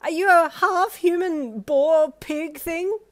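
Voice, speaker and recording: A young woman traveler who answers in high, loud exclamations, as a woman narrator puts her on. The lines come sharp and raised, pleading and snapping back in turn. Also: lively intonation, fairly quick. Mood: panicked. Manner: shrill, exclamatory pleading and retorts. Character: young woman traveler, scared then exasperated.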